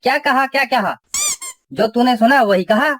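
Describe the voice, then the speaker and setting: A woman's voice: on the high side, normal speed, faint music behind, close to an everyday voice. A woman voicing a grumbling, flirtatious husband and father in a cartoon comedy. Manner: bickering at family, smooth-talking.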